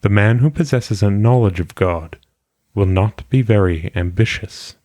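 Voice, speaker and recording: A man reading philosophical maxims aloud in a solemn audiobook style, with measured, deliberate phrasing. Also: on the low side, mellow, no room sound. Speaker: reader.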